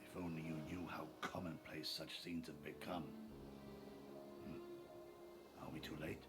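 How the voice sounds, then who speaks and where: Very deep, grave fantasy warrior. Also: male voice, little pitch movement, a little slow. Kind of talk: dramatic video game voice acting. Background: loud game music.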